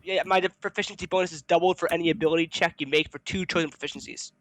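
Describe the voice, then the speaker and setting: A man's voice: fairly high pitch, steady tone, rapid-fire, a bit bright, dry recording. A man, a player in a tabletop game, chatting casually about his character and laughing often. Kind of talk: casual chat between game sessions.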